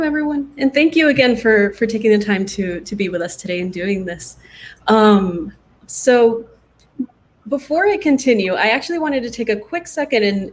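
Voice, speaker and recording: A woman from Puerto Rico moderating a panel discussion in a conversational style. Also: on the high side. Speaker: panel moderator, game UX designer.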